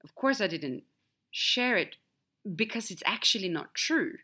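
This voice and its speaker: A woman's voice: mid-range voice, animated, unhurried, sharp tone. A woman whose first language is Swedish hosts a podcast, telling a personal story in a candid, reflective solo monologue. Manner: candid, storytelling, wide swings in emphasis. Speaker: podcast host.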